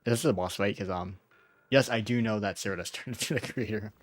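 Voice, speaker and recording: A man's voice: on the low side, fairly quick, no room sound. A man streaming a game, giving casual live commentary with joking, offhand reactions. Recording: streamer voice over game music.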